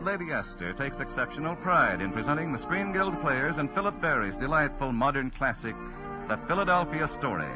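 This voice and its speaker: A man announcing and narrating in a polished, theatrical 1940s radio style. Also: on the high side. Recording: dull old radio recording with music. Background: loud music.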